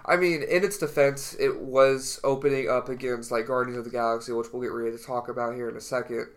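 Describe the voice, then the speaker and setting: A man's voice: medium pitch, steady tone, quick pace. A young man co-hosting a casual podcast with a stuffy nose from a cold, talking in a loose, rambling way. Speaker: podcast co-host. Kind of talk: casual chat.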